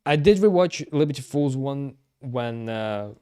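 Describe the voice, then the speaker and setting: A man giving casual live stream commentary, thinking aloud haltingly and repeating himself. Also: mid-range voice, expressive, dark tone, clean tone.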